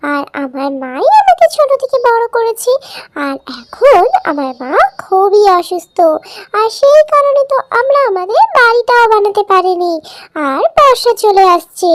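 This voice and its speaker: A woman's voice: very high voice, dry recording, clean studio sound, voice pushed up. A woman voicing an innocent, earnest little female baby bird in a children's cartoon.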